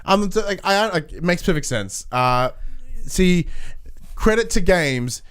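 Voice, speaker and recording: A man co-hosting a casual podcast, talking in a playful, excitable way full of exclamations. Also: high voice, a little slow, clear, resonant tone. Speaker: podcast co-host.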